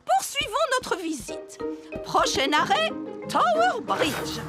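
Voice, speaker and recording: A helpful mother kangaroo in a cartoon, explaining like a cheerful guide. Her high voice moves about with lively intonation, over prominent music. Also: slow pace, exaggerated voice. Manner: explanatory, bright-spirited. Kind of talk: French cartoon dub acting.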